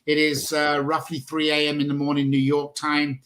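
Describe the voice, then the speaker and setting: A man's voice: fairly high pitch, monotone, normal speed, a bit bright. A man hosting a live charity video call, chatty and upbeat as he introduces guests. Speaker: livestream host.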